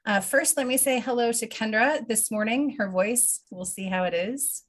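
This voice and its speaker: A woman's voice: fairly high pitch, moderate pace. A woman co-hosting a podcast, friendly and upbeat, chatting and introducing a guest. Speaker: podcast co-host. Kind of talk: casual chat, interview.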